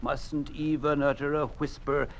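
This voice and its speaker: An actor in a 1950s radio drama playing a genial, talkative Communist party secretary with a smooth, persuasive manner. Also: male voice, fairly high pitch, level tone, measured pace, fairly bright tone. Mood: pointed, coaxing. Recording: muffled, noisy old 1950s radio recording.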